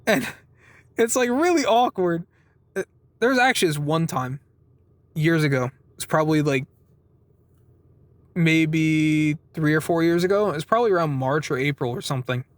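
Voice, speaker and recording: High-voiced man in his twenties, casual. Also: normal speed, pauses often, no echo. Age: 20s. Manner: acts out quoted exchanges; informal, swears casually. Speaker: vlogger giving a weekly update. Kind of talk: casual rambling storytelling.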